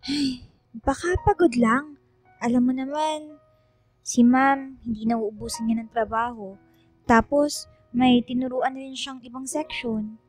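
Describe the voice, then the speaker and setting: A woman's voice: high-pitched, fairly even intonation, measured pace, long pauses, soft background music. A woman voicing a sympathetic, level-headed teenage schoolgirl in a casual radio drama conversation.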